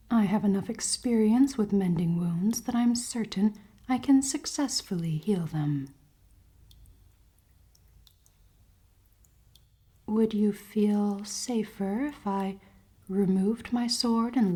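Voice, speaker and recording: A woman playing a cold-hearted, commanding elven queen in a fantasy ASMR roleplay, soft and often whispering, with formal, teasing speech. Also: mid-range voice, slightly rough. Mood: calm, coaxing. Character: cold-hearted, dominant elven queen, haughty, secretly caring.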